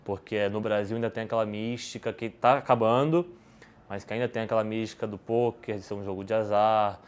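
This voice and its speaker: A man's voice: fairly low pitch, steady tone, brisk, smooth. A Brazilian man, a podcast guest, answering questions in casual talk, rambling with many restarts and tag questions. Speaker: podcast guest, poker player.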